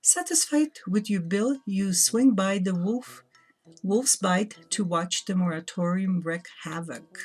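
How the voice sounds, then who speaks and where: A woman chats casually during a let's play and reads game text aloud in a measured, lightly theatrical way. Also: mid-range voice, a little mellow, husky. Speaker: guest co-player. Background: background game music.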